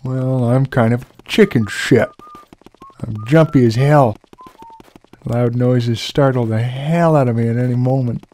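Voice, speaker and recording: A man's voice: mid-range voice, a little slow. A young man with a relaxing voice commenting casually on a game, swearing freely and poking fun at himself. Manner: relaxed, profane, self-deprecating rambling. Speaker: let's play host.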